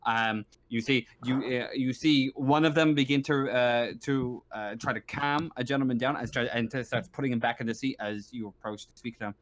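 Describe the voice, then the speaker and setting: Halting man running a tabletop roleplaying game. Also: medium pitch, steady tone, a bit bright, poor audio. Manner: halting, repeats phrase starts. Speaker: game master.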